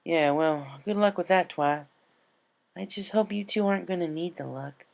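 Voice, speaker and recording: A man's voice: on the high side, normal speed, creaky, a little mellow, pitched above the performer's own voice. A man voicing a stubborn, caring cartoon farm pony, a mare with folksy country phrasing. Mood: casual, resigned.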